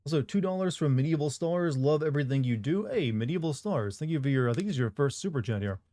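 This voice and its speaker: A man live-streaming a horror game, reacting loudly with swearing when he dies and casually thanking viewers in between. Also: fairly high pitch, expressive, fast talker, dry recording.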